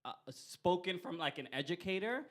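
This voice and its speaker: A young man co-hosting a casual podcast, rambling with many fillers and restarts in an expressive way. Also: sits high, moderate pace. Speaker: podcast co-host.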